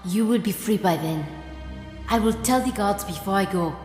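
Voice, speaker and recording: A woman playing a sorrowful, resigned resistance fighter in a video game, halting and pleading. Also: mid-range voice, a little mellow, lightly acted. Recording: echoey hall, music behind.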